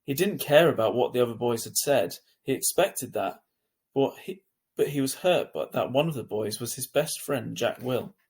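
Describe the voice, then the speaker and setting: Husky male storybook narrator. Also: medium pitch, animated, normal speed, darkish tone. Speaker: teacher reading a story aloud.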